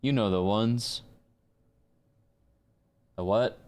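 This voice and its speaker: A man's voice: on the low side, drawn-out words. A man who works as a voice actor, chatting casually and playfully to his livestream audience in a musing way. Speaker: voice actor and live streamer. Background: soft plucked guitar music.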